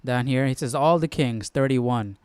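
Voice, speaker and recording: A man's voice: medium pitch, moderate pace, a little mellow, clean tone, no echo. A man hosting a live study stream, giving casual, slangy, jokey commentary as he muses aloud.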